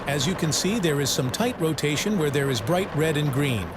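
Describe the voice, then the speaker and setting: Guy acting as worried tv weatherman, kinda high voice, super animated, explains stuff, noisy recording. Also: normal speed, lightly acted. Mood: focused, explanatory. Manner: explanatory, urgent, conversational. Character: concerned male TV meteorologist covering a storm live. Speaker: weather broadcast presenter. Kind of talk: acted live storm coverage.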